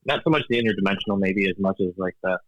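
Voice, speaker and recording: A man's voice: low-pitched, racing, fairly bright tone, no echo, lo-fi sound. A man talking as a guest author in a casual interview, thoughtful and hesitant, with many fillers and restarts and frequent laughs. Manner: calls himself an engineer, evidence-minded.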